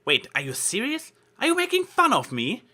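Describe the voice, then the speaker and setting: A man's voice: very high-pitched, wildly swooping pitch, moderate pace, sharp tone, voice pushed up, full character voice. A man voicing a brash, boastful young female flying pony in a story reading. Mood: confused. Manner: cocky, teasing.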